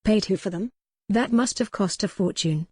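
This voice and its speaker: A woman's voice: mid-range voice, moderate pace, a little mellow, husky, dry recording, clean studio sound, natural voice. A woman voicing a proud, defiant young noblewoman, formal and imperious, in audiobook character dialogue. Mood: challenging.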